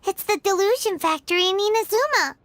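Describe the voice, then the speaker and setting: A woman voicing a tiny childlike fairy companion in a video game, squeaky, timid and excitable. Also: a little fast, a little hiss or hum. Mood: uneasy. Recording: music behind the voice.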